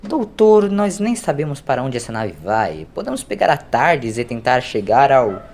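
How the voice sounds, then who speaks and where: A man's voice: medium pitch, big pitch swings, moderate pace, a little mellow. A man voicing an impatient young Scottish time traveller who protests and questions, in an audiobook story. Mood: protesting.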